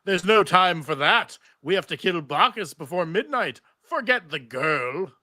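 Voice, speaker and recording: A man playing a bitter, urgent male figure who scolds his companions in slow, drawn-out phrases at a fantasy script reading. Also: high-pitched, expressive, bright, forceful tone, no room sound, studio quality, voice pushed up, heavily acted. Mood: impatient, urgent. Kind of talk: live table read of a TV fantasy script.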